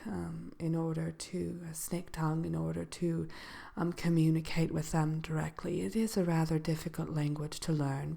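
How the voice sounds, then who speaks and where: A woman playing a composed dark sorceress who gives a soft-spoken ASMR seminar in a hesitant, measured, genteel manner. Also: low-pitched, vocal fry, exaggerated voice. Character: composed dark sorceress lecturing on magical animal companions. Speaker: seminar presenter.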